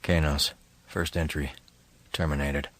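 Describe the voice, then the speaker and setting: A man's voice: rumbling low, flat delivery, measured pace, over a noisy crowd. A man playing a grim elite guard turned outlaw, terse, stern and guarded, in an audio drama. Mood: solemn. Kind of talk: audio drama acting and narration.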